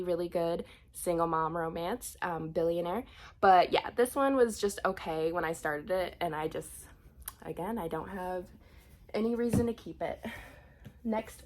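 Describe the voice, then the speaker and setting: Sniffly woman chatting casually about books. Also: fairly low pitch. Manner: chatty, frequent ums and restarts. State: sniffly from a cold. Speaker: book vlogger. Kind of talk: casual book unhaul chat.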